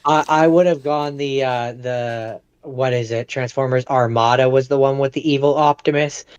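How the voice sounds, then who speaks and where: A man's voice: medium pitch, steady tone, moderate pace, clear, resonant tone, no room sound. A man co-hosting a podcast and casually discussing movies, recorded with a slightly muffled, dry sound. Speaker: podcast co-host.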